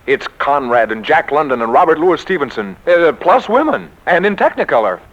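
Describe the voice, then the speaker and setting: Excitable american publishing boss, swoops all over, grandiose, muffled 40s radio comedy. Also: male voice, a little high, moderate pace, exaggerated voice. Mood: excited, rhapsodic. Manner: theatrical, emphatic, grandiose. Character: excitable, bombastic publishing executive, grandly overselling. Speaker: radio drama actor. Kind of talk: 1940s radio comedy acting. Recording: muffled 1940s radio recording.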